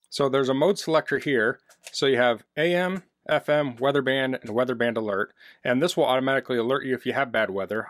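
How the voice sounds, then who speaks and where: A man's voice: mid-range voice, no echo, clean studio sound. Man giving a casual, practical product demo. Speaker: product reviewer. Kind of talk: casual hands-on product demonstration. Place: basement, indoors.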